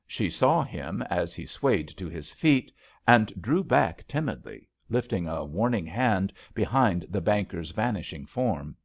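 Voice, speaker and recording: A low-voiced man reads a romantic story aloud like an audiobook narrator. His delivery is unhurried and very animated, with a gravelly creak, on a slightly dull recording. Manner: measured, dramatic storytelling. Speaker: audiobook reader.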